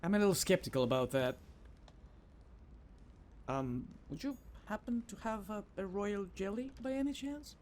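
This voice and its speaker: A man's voice: sits high, animated, moderate pace, pauses often, a little mellow, slight background noise. A man giving casual let's play commentary, informal and thinking aloud as a gamer. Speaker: gamer playing through a game.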